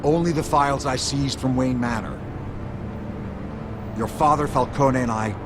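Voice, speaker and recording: An actor playing a corrupt older mayor in a video game drama, speaking with smooth, pompous condescension. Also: male voice, mid-range voice, a little slow, close to an everyday voice. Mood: scheming. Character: smug, corrupt older male mayor and power broker. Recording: music behind the voice.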